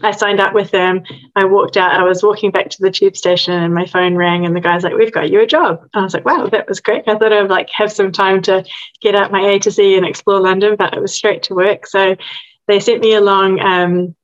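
A woman's voice: medium pitch, steady tone, fairly quick, dull audio. A woman, a lawyer chatting casually as a podcast guest, with lots of likes and ums. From New Zealand. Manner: chatty, frequent likes and ums, laughs easily. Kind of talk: casual interview chat.

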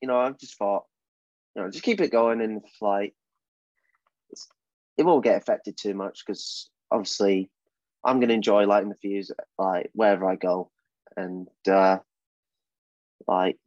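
A young British man answers questions in a casual podcast, hesitant and full of "um"s and "like"s. His voice sits a little low and is recorded dry and close, with a dull, lo-fi tone. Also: a little mellow, dry recording. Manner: hesitant, many fillers and restarts. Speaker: wrestler guest.

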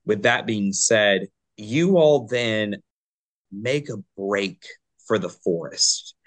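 A man narrating a tabletop game as game master, calling for rolls, in a relaxed, descriptive manner. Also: fairly low pitch, slow pace.

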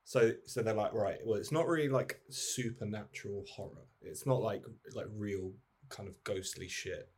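A man's voice: fairly low pitch, moderate pace, few pauses, darkish tone, husky. A man in an informal, rambling, sweary chat about films, acting out imagined lines as he goes. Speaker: podcast host. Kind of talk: casual film chat.

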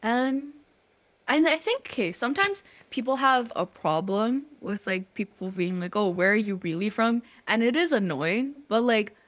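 A woman's voice: a little high, expressive, normal speed. A young woman from Nepal who lives in Canada, chatting casually as co-host, full of fillers and restarts. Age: young adult. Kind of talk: casual chat.

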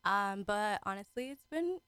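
A woman's voice: medium pitch, expressive, measured pace, fairly bright tone, smooth, no echo. A giggly, chatty woman in her twenties from the Caribbean, talking casually as a radio show guest. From Caribbean, raised in Trinidad and the British Virgin Islands. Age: 20s. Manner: giggly, chatty, acts out her own remarks. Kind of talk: casual chat.

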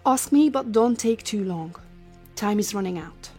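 A woman's voice: mid-range voice, lively intonation, unhurried, darkish tone, husky, soft background music. A woman playing a cold, commanding scientist in a sci-fi audio drama, speaking formally and imperiously. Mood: urgent, commanding. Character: cold, commanding female scientist leading a time-travel mission.

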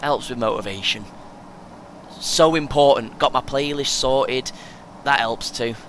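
Man acting eager runner, bright voice. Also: mid-range voice, a little slow, natural voice. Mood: keen, boastful. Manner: excitable, chatty, clipped short phrases. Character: chatty, eager, over-prepared male first-time marathon runner. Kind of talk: naturalistic audio play acting. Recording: clear background noise, vehicle ambience.

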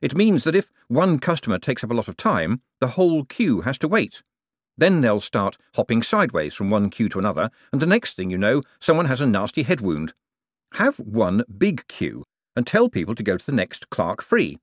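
A man voicing a glib, self-assured young male banker who talks briskly. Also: medium pitch, very animated, dry recording, studio quality.

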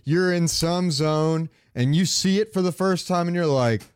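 A man's voice: fairly high pitch, a little slow, clean tone. A man co-hosting a podcast, chatting casually about video games and rambling as he searches for words. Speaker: podcast co-host.

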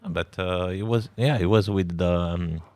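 A man's voice: low-pitched, a little slow, a little mellow, dry recording. A man hosting a podcast in casual chat, teasing his guest and often repeating words as he starts sentences. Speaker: podcast host.

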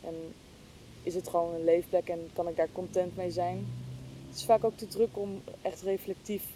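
Hesitant woman, mellow voice. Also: flat delivery, moderate pace, low-quality recording. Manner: hesitant, thinking aloud. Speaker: interview guest, podcast hostess. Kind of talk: casual, reflective conversation. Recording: clear low rumbling background noise.

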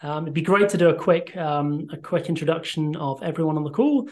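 A man's voice: on the high side, fairly quick. A man hosting a podcast interview, introducing the topic and guests with polite, brief prompts. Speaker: host.

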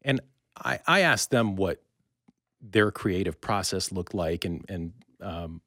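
A man hosting a conversational interview, with halting, reflective and wordy phrasing. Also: low-pitched, animated, sharp tone, no echo, studio quality. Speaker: interview podcast host.

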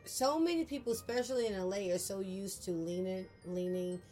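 A woman's voice: medium pitch, a little fast, warm tone, faint music behind. A woman with a strong voice, chatting as a podcast guest in a blunt, emphatic, get-to-the-point way. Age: adult with a daughter. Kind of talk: casual chat.

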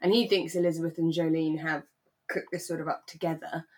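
A woman co-hosting a podcast in a relaxed, conversational way, thinking aloud and seeking agreement. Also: low-pitched, a little fast. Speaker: podcast co-host. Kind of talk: relaxed chat discussing a radio drama.

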